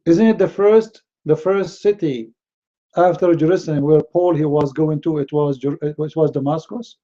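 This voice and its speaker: Arabic-accented man, indignant rebuttal, raised pitch, muffled lo-fi sound. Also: moderate pace, dark tone. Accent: Middle Eastern Arabic. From Middle East. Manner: indignant, repeats words and restarts often. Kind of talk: heated rebuttal to a clip.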